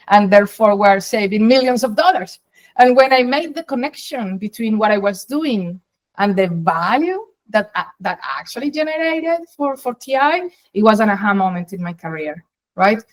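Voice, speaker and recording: Guest, woman, Colombian accent, native Spanish, middle pitch, emphatic explainer. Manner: emphatic, explains in long flowing runs with rephrasings. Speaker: guest, data science executive. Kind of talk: interview answers, conversational.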